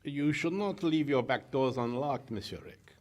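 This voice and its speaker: An actor playing a stern, officious police officer making an arrest, formal, in 1940s radio theater style. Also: male voice, medium pitch, moderate pace, close to an everyday voice.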